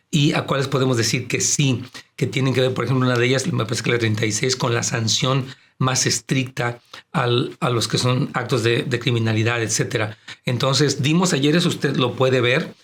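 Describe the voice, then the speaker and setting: A man's voice: mid-range voice, fairly even intonation, normal speed, fairly bright tone. A man with a Latin American Spanish accent and a strong, projecting voice, speaking as a pastor hosting a church program and explaining his views conversationally to his brethren. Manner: addresses listeners as brethren, explanatory. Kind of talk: conversational religious and political commentary.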